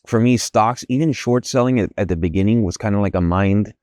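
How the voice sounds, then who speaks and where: Low-voiced halting podcast host, dry mic. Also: male voice, a little mellow, no room sound. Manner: halting, restarts often, thinks aloud. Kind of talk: podcast interview.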